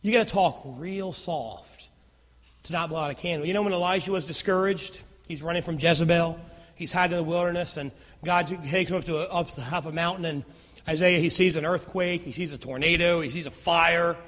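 A man preaching a sermon as pastor, with a naturally loud voice that swings from fervent emphasis to hushed near-whispers. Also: a little high, brisk, long reverb tail.

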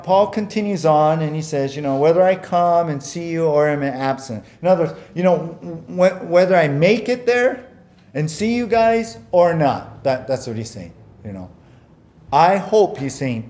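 A man's voice: fairly high pitch, darkish tone. A man preaching a sermon as pastor, earnest and conversational, often repeating words and using fillers, whose first language was Japanese.

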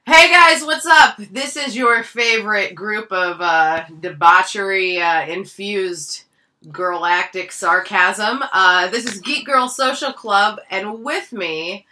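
A woman hosting a podcast in casual chat, loud and laughing, swearing freely and using many fillers. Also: mid-range voice, takes it slowly. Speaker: podcast host.

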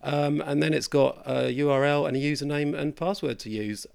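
Croaky, gravelly male podcast host. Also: a little high, normal speed, darkish tone. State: croaky, hoarse voice. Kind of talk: casual horror podcast chat.

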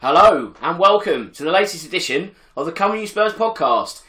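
Hoarse guy hosting a footy podcast, bright forceful voice, kinda echoey room. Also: high-pitched, lively intonation, a little fast. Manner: upbeat, chatty presenter. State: hoarse from a chest infection. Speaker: podcast host. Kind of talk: casual football podcast chat.

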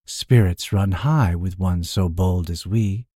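A sly, world-weary fantasy hireling, as a male reader plays him. The voice sits low, sour and dry under a show of deference, in a close, dry recording. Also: takes it slowly, mellow, no room sound. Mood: smooth, weary.

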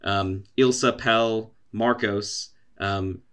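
A man's voice: lowish voice, fairly even intonation, takes it slowly, fairly bright tone, clean tone. A young man co-hosting a podcast, telling rambling stories full of fillers, swearing and voiced quotes. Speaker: podcast co-host. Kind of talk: casual chat.

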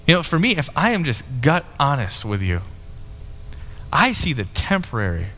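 A man's voice: on the high side, huge pitch swings, normal speed, bright, forceful tone, big-room sound. A man in his thirties preaching a sermon in a candid, conversational way, often restarting his sentences. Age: 30s. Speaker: pastor.

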